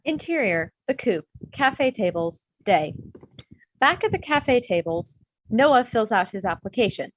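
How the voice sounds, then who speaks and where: A woman's voice: fairly high pitch, very animated, measured pace. A woman reading out a script's stage directions as scripted narration, on dull, lo-fi audio. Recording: dull, lo-fi video call sound.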